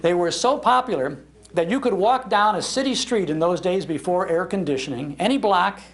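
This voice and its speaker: An American man telling anecdotes to a gathering as an animated raconteur. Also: high voice, moderate pace. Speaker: speaker, radio historian. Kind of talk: anecdotal storytelling in a public talk. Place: public library talk.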